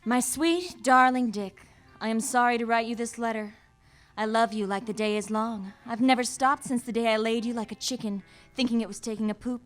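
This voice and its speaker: An actress playing a sweetly dramatic, dismissive runaway bride, reading a farewell letter in a comic radio play. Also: female voice, fairly high pitch, natural voice. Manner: theatrical, mock-romantic.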